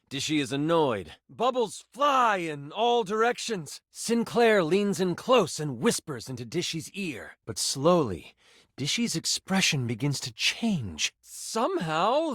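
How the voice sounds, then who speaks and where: An actor playing an excitable, theatrical nerdy game master in a video game, moving between grand narration and casual asides. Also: male voice, sits high, no room sound, studio quality, full character voice. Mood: hushed, dramatic. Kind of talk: video game character voice lines, dramatic tabletop narration.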